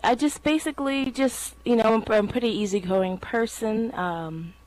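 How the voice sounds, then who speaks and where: A woman's voice: on the high side, moderate pace, a little mellow, rough recording. A woman from Thailand, a singer, chatting casually as an interview guest.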